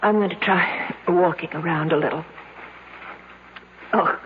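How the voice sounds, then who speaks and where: An actress in a radio drama playing a fretful, desperate young woman trapped in a dark cave. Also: lowish voice, measured pace, natural voice. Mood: agitated. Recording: muffled, noisy old radio recording.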